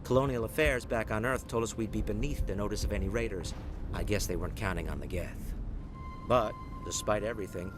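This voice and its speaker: An actor playing a weary, dignified colony leader in a video game, speaking in a measured, formal and earnest way. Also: male voice, lowish voice, natural voice. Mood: weary resolve. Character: weary, dignified, steadfast male leader of besieged space colony. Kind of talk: video game dialogue acting. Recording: game audio with music behind.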